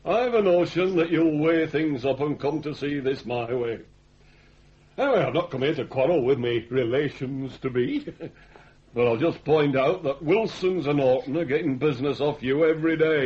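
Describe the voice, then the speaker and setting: A man's voice: mid-range voice, normal speed, lightly acted. An actor in a British radio drama playing a cocky, threatening working-class father with a Northern English accent, assertive and slyly needling. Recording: dull, muffled old radio recording.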